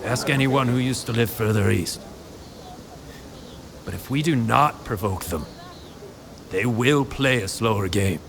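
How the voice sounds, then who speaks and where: An actor playing a cautious, stubborn townsman in an audio drama, speaking with emphatic oratory and a gravelly voice. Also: mid-range voice, unhurried, long pauses, a crowd in the background. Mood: grave, urging. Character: cautious, stubborn townsman urging appeasement and survival.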